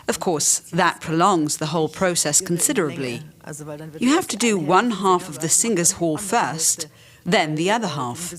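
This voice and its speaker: Woman explaining, with other voices behind her. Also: fairly low pitch. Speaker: restoration expert interviewee.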